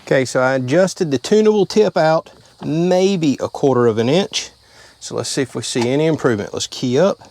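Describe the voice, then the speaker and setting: A man's voice: a little high, normal speed, darkish tone. A man, talking through a hands-on how-to in a casual, plain-spoken way from inside a vehicle. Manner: plain-spoken, step-by-step explaining. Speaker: channel host.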